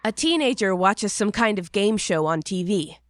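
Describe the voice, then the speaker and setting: A woman's voice: medium pitch, lively intonation, moderate pace, no room sound, clean studio sound, lightly acted. A woman playing a curious, impatient young apprentice, narrating in the first person from a script. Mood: eager, hushed wonder. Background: music.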